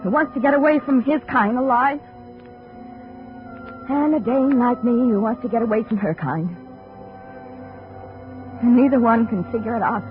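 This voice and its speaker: A woman's voice: fairly high pitch, moderate pace, long pauses, soft background music, close to an everyday voice. An American woman playing a world-weary, self-blaming dame in a radio drama, plain-spoken and resigned. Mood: weary, bitter. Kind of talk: 1950s radio drama acting. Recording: tinny, narrow-band 1950 radio broadcast.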